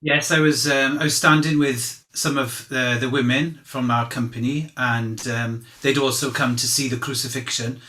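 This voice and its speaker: A man playing a candid, humble fisherman giving halting, conversational eyewitness testimony in a courtroom drama. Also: medium pitch, steady tone, sharp tone, close to an everyday voice. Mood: plain, hesitant. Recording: roomy, echoing sound.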